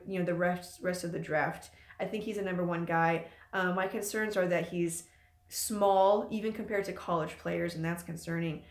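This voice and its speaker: A young woman in her late twenties talks in a loose, rambling way, filling her pauses with ums. She hosts her own channel from a room at home. Her voice sits at an ordinary middle pitch, and the room gives it a noticeable echo. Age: late 20s. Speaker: channel host. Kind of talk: casual live-stream chat. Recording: echoey room.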